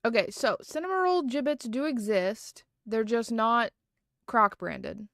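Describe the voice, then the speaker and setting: A woman's voice: fairly high pitch, measured pace, a bit bright, no room sound, clean studio sound. A woman livestreaming from home, chatting casually and rambling with lots of fillers and restarts. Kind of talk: casual chat.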